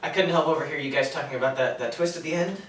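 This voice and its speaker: A man's voice: fairly high pitch, racing, a bit bright, natural voice. An actor playing a nervous, eager young male intern who stammers and apologizes timidly. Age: young adult. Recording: distant, echoey stage recording with hiss.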